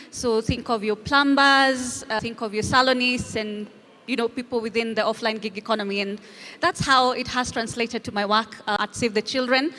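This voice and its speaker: A woman answers a panel question in a measured, articulate way. Her voice is slightly high. Long reverb from the hall surrounds it. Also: a bit bright. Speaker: panelist, nonprofit technology lead. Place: conference stage. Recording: echoey hall.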